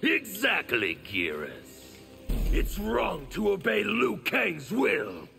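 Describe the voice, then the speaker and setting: Monstrous male outcast, bitter and defiant, extreme rasping growl, wildly swooping pitch, game dialogue over faint music. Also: wildly swooping pitch, slow pace, exaggerated voice. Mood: defiant fury. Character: embittered, raging male monstrous outcast rebel. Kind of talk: video game fight intro dialogue.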